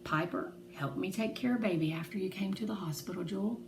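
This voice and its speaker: A woman voicing a fierce, protective girl who can be forceful or tender, in a children's book read aloud. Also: mid-range voice, a little fast, natural voice. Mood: hushed, tender. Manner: forceful when challenged, tender and hushed with friend. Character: fierce, excitable girl, bossy and protective friend. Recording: echoey room with clear background noise.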